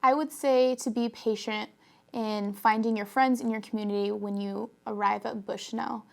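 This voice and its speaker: College girl from oregon, kinda high voice w a bit of fry, calm and earnest. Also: normal speed. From Oregon, USA. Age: young adult. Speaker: college student. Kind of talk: scripted self-introduction and advice.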